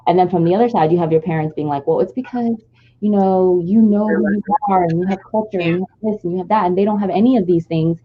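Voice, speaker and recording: A woman's voice: lowish voice, fast, muffled recording. A Nigerian-born woman with no trace of an accent, talking earnestly and reflectively in a long, flowing personal story on a podcast. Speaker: podcast co-host.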